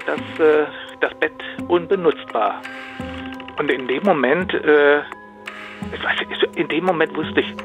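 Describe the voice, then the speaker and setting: Older guy in his 60s on a phone call remembering stuff, stop-start, slightly high voice, music playing under. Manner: halting, hesitant. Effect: telephone. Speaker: interviewee recounting his experience. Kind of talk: spontaneous personal account.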